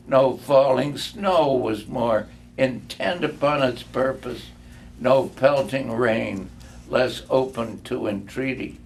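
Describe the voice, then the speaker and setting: An older man reading a classic story aloud in a deliberate way, leaning dramatically on words. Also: medium pitch, creaky. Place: live reading in a room. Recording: faint background noise.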